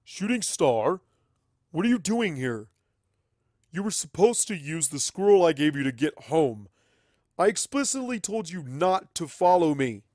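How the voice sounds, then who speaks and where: A man voicing a stern, exasperated pony father who speaks in a forceful, scolding way. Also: a little high, sing-song, a little slow, no room sound, clean studio sound, pitched above the performer's own voice. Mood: angry, scolding.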